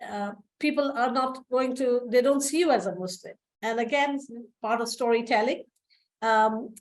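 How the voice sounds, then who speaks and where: A middle-aged woman from India, a professor, speaking at a panel in a measured, thoughtful way with frequent fillers. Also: medium pitch, darkish tone, poor audio. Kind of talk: panel talk.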